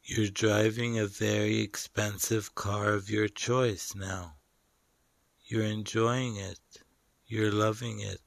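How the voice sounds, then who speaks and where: A man guiding a meditation in short, deliberate phrases with long pauses between them. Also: on the low side, little pitch movement, creaky, no echo. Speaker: meditation guide. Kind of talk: guided meditation narration.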